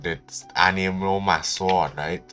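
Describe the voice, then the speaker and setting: Low-voiced Thai man streaming a game. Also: level tone, unhurried, fairly bright tone, faint music behind. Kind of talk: casual live gameplay reactions.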